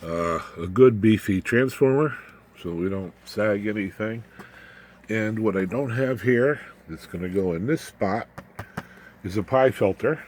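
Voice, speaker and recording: Low-voiced man in a casual tech demo. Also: a little hiss or hum. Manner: folksy, rambling, jokey asides. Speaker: amateur radio electronics video host. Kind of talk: casual off-the-cuff technical demonstration.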